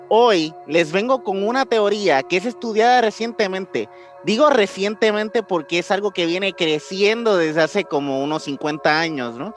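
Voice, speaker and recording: A man's voice: sits high, a bit bright. A man from Puerto Rico co-hosting a podcast in a casual, conversational way. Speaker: podcast co-host.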